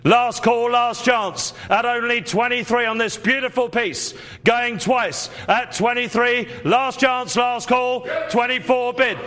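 A man hosting a televised art auction as auctioneer, calling bids in a rapid, repetitive chant and urging buyers on. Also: extremely high pitch, steady tone, sharp tone.

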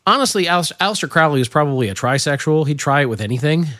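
A man co-hosting a talk show in casual, jokey banter, his voice gravelly from a cold. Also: a little high, very fast, no room sound, clean studio sound. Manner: jokey, rambling, lively. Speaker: co-host of a political talk show.

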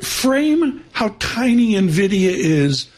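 A man's voice: high voice, very animated, extremely slow. A man hosting a morning business show, chatting loosely and telling a story with asides. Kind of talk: casual chat.

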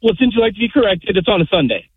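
High-voiced male caller on a phone line. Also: big pitch swings, rapid-fire, slightly rough, dry recording.

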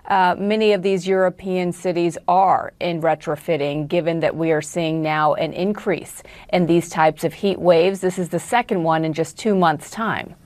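A woman news interviewer with a fairly low voice, recorded close and dry. She asks formal broadcast questions, building up context before each one. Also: fairly even intonation, moderate pace, hardly any pauses, a little mellow, no room sound.

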